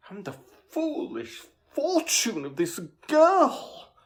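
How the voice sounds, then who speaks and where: A woman playing an ailing, wheezy comic go-between, halting and querulous, in a Shakespearean audio drama. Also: sits high, huge pitch swings, full character voice. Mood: querulous, self-pitying.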